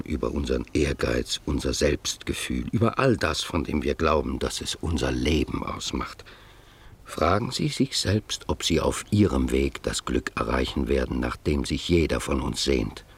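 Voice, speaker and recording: Deep-voiced, grave village pastor. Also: male voice, expressive, creaky, husky, heavily acted. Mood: solemn, pleading. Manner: solemn, admonishing, measured. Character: grave, morally earnest male village pastor. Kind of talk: German radio play acting.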